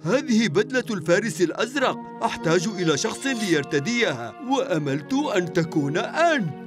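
A man voicing a kindly, excitable older mayor in a dubbed children's show, speaking with dramatic expression. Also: high-pitched, brisk, music in the background. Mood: cheerful.

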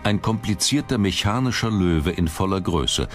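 Deep-voiced male documentary narrator. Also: lively intonation, normal speed. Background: background music.

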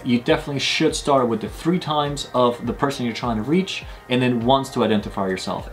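A man in his thirties giving clear, step-by-step instruction to camera as a video host. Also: mid-range voice. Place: small indoor studio room.

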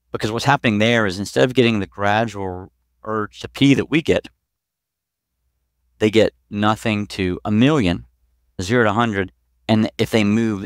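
Male medical expert, 40s, podcast guest: low, smooth voice, explains casually in plain everyday terms. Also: no echo, clean studio sound. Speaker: pediatric urologist, podcast guest. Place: small clinic office, video call. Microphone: headset boom mic.

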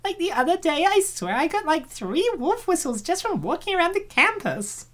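A woman playing a fretful young college woman in a comic dub. Her voice sits very high and swoops around a lot. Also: big pitch swings, normal speed, fairly bright tone, full character voice. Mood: perky. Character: anxious young woman student, self-conscious.

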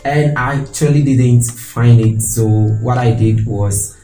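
A man's voice: mid-range voice, steady tone, slow, mellow, faint music behind. Young Ghanaian man in an echoey room. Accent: Ghanaian English. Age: young adult. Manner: earnest, storytelling with hand gestures. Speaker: freelance designer and video creator. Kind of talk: casual talking-head video. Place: small home room with shelves. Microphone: clip-on lapel mic.

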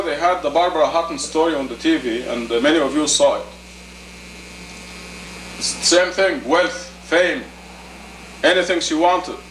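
A man in his fifties giving a sermon as an imam, deliberate and emphatic, in short phrases and rhetorical questions. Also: fairly high pitch, fairly bright tone. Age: 50s. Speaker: imam, preacher. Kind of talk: sermon, teaching plainly to a congregation. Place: indoor meeting room. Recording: roomy, distant camcorder audio with faint noise.

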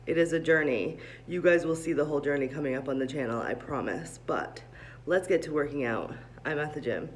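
A woman's voice: deep voice, fairly quick, a little hiss or hum. A woman in her thirties chatting upbeat and rambling into a phone held close, first in a gym hallway and then in a parked car. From Vermont, USA. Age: 30s. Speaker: vlogger. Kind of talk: casual vlog chat.

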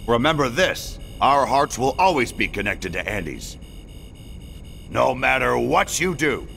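An actor playing a heroic, resolute toy space ranger in an animated video game, bold and declamatory. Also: male voice, medium pitch, measured pace, creaky, a little hiss or hum, exaggerated voice.

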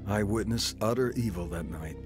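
Man playing a troubled guy telling his life story on a radio drama, lowish, earnest, faint music. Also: moderate pace, lightly acted. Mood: reflective, grave. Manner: earnest, reflective. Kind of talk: scripted first-person radio drama narration.

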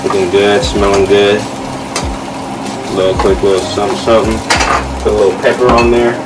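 A man's voice: on the low side, little pitch movement, normal speed, very noisy. A young man chatting casually to his viewers while he cooks in a home kitchen, filmed by a camera a few feet away. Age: young adult. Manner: laid-back, chatty, talks to viewers while cooking. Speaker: fitness vlogger. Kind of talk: casual cooking vlog. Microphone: camera set on the counter, a few feet away. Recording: distant camera mic, music behind.

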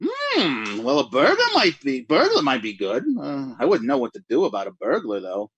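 A man's voice: medium pitch, wildly swooping pitch, normal speed, a bit bright, breathy edge, dry recording, dull audio, full character voice. A man playing a jumpy writer alone at night, rambling and mocking himself in 1940s radio acting style. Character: jittery lone male writer talking to himself.

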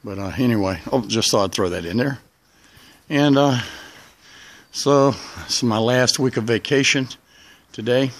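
A man's voice: medium pitch, normal speed, long pauses. A man giving an informal, rambling vlog narration outdoors, speaking from behind a handheld camera. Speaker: hobby radio video maker. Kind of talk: casual vlog narration while filming. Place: outdoors in a backyard.

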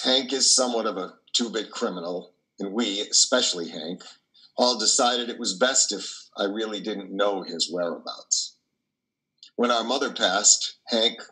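Deep, bright-voiced older priest, acted by a man. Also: low-quality recording. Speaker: actor reading a play. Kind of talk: dramatic monologue reading. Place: dim wood-panelled room.

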